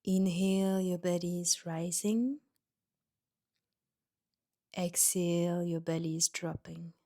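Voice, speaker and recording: A woman guiding a yoga nidra meditation in a gentle, soothing manner, leaving pauses between short instructions. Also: fairly low pitch, fairly even intonation. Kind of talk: guided meditation.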